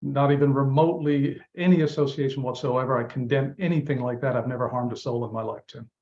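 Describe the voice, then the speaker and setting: A man's voice: mid-range voice, steady tone, fast talker, a little mellow. Man from lebanon, earnest, explains a lot, kinda muffled remote audio. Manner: earnest, emphatic, explaining at length. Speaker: campaign manager. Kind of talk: interview guest.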